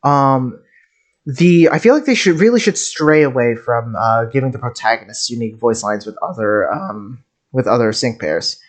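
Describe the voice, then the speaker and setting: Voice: medium pitch, expressive, normal speed. A streamer giving casual game reaction commentary in a rambling way, often stammering and repeating words. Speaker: streamer, game content creator.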